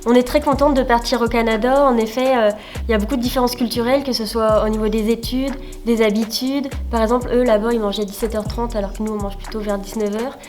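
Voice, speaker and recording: A young woman from France presents a student project in a measured way, with a few hesitations. Her voice is a bit high for a woman, with faint music under it. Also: steady tone, a little mellow. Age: young adult.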